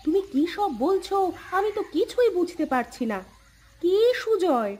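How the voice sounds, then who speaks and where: A woman performs a caring cartoon village wife in an extremely high voice. She sounds plaintive and questioning, as if puzzled by what she hears. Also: very animated, normal speed, mellow. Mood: bewildered. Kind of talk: Bengali cartoon voice acting.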